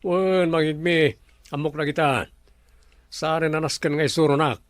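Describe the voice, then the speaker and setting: A confident male boss in a radio drama, played by an actor. His voice is on the high side for a man as he gives decisive instructions. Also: steady tone, measured pace, no room sound, lightly acted. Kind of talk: Ilocano radio drama acting.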